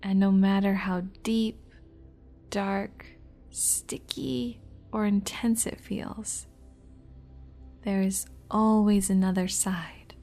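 A woman leading a guided meditation in a soft, breathy, near-whispered voice, gently, with long pauses between short phrases. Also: medium pitch, little pitch movement. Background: music.

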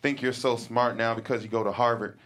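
A man's voice: mid-range voice, steady tone, fairly quick, fairly bright tone, natural voice. A man reads an awkward teenage boy who rambles when he gets flustered. His voice sits in the middle range. He keeps trying too hard to sound clever. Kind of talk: table read.

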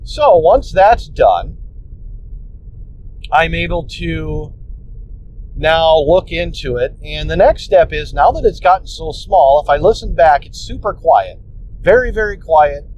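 Podcast host, male, slightly high voice, rambling how-to chat from a moving car, muffled phone sound. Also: darkish tone. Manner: rambling, restarts, plainspoken with mild swearing. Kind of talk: casual instructional talk. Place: inside a car on the road. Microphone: phone recorder. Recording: muffled phone recording with road noise. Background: road rumble.

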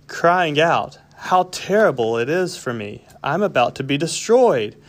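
A man reading scripted Bible story narration for a children's audio drama, in a deliberate, line-by-line way. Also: fairly high pitch, sing-song.

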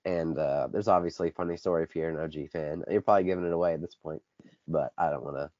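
Give me a congested teen guy with a low voice. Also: steady tone, quick pace, darkish tone, no room sound. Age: teens. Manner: rambling, self-correcting. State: congested, dry throat. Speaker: podcast co-host. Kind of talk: casual sports chat. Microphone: basic home podcast setup. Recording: dull lo-fi dry recording.

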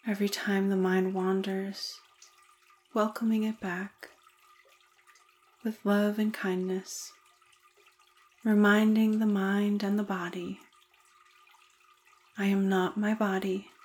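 A woman leading a guided meditation in a soothing, deliberate manner with gentle pauses. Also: medium pitch, level tone.

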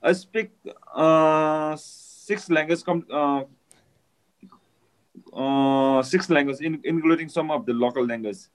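A man with a Nepali accent, a native Nepali speaker, answering interview questions in halting, simple English. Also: a little high, poor audio. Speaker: interview guest, mountaineer. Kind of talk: casual interview.

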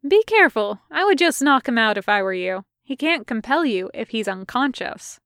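A woman's voice: a little high, expressive, normal speed, fairly bright tone, dry recording, clean studio sound. Woman playing a smug, sweetly sardonic female secret agent. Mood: dry, sardonic. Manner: sardonic, condescending, sweetly chatty. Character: breezy, smug female covert agent and kidnapper.

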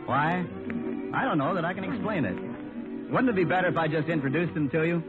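A man's voice: on the high side, lively intonation, a little fast. A man announcing and narrating a 1950s radio comedy show. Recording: tinny 1950 radio recording over music.

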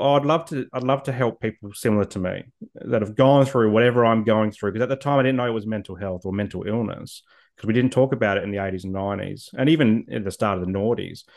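A man in his forties, speaking reflectively as an interview guest, with frequent ums and repeated words. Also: on the low side. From Australia. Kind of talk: personal story in an interview.

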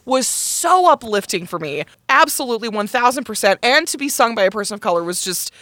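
Woman cohosting a theater podcast, emphatic and animated, mid voice, dry close mic. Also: a little high, a little fast, no room sound. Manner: emphatic, animated, quotes lines in playful put-on voices. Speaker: podcast co-host. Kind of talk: casual chat about musical theater.

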